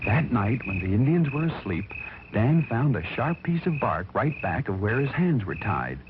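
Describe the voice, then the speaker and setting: A man tells a story for children with emphatic, folksy drama. His medium-pitched voice swings widely in intonation. The recording is old and narrow, like a telephone line, with music playing behind him. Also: sing-song, moderate pace, heavy background noise. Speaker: narrator. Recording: thin, phone-like vintage recording with music behind.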